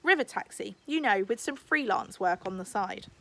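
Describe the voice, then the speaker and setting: A woman's voice: mid-range voice, expressive, moderate pace, a bit bright. A woman voicing an effusive older uncle, a wheeler-dealer restaurant owner with grandiose salesman patter, in a storybook reading. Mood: breezy self-justifying.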